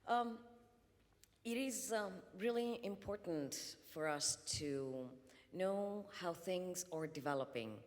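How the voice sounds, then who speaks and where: A woman from Greece speaks as a panelist at a conference, in a measured way with frequent ums and uhs. Her voice sits low. Long reverb from a large hall trails each phrase. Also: lively intonation.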